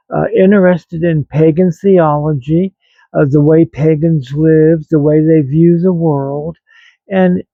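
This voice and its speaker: A man in his seventies with an American accent, talking reflectively and haltingly to online viewers in a rambling personal vlog. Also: on the high side, creaky, dry recording, narrow, tinny audio. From grew up in West Virginia, United States. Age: 70s. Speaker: vlog host.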